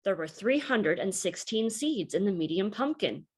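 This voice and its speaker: A woman reading aloud a story for children in a deliberate, expressive teacherly way. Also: mid-range voice, breathy edge.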